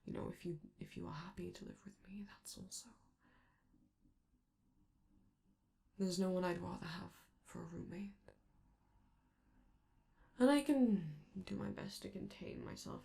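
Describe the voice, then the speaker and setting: Casting: woman voicing affectionate, protective showbiz robot; lowish, heavy vocal fry, hushed intimate roleplay delivery with a theatrical streak. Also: huge pitch swings, moderate pace, exaggerated voice. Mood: tender, hesitant. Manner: soft, theatrical, affectionate, hesitant, breaking into whispers. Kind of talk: intimate ASMR comfort roleplay.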